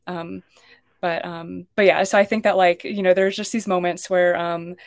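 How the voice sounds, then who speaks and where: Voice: mid-range voice, little pitch movement, normal speed. A podcast panelist speaking casually and hesitantly, leaning on many fillers and self-corrections. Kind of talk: casual discussion.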